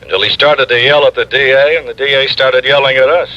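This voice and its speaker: An actor with an American accent playing an exasperated, grumbling New York police lieutenant in an old-time radio drama. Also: male voice, medium pitch, fairly even intonation, quick pace, bright, forceful tone, lightly acted. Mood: frustrated, emphatic. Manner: blustery, grumbling, emphatic. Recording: dull lo-fi vintage radio recording.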